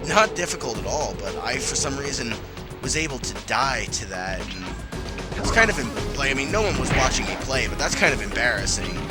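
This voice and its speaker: A man with a nasal, under-the-weather voice hosting a casual gameplay commentary, rambling with lots of fillers. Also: mid-range voice. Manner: rambling with many fillers and restarts. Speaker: let's play host. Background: game music.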